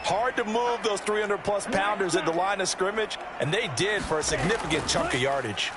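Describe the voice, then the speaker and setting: An actor playing a folksy American football analyst giving conversational color commentary in a video game. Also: male voice, sits high, moderate pace, heavy background noise, close to an everyday voice. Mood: enthused, explanatory.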